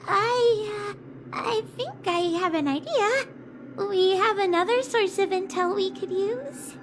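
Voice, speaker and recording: A woman's voice: extremely high pitch, slow pace, noisy background. A woman voicing a small, bookish, cautious girl in a video game, earnestly explaining with some hesitation. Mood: careful, thoughtful. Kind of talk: video game character dialogue. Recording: music behind the voice. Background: game music.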